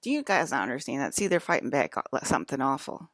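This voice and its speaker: A woman's voice: extremely low pitch, very animated, fast, fairly bright tone, dry recording. A woman in her fifties talking straight to her viewers in a rambling, confrontational monologue, full of fillers and swearing. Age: 50s. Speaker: video blogger.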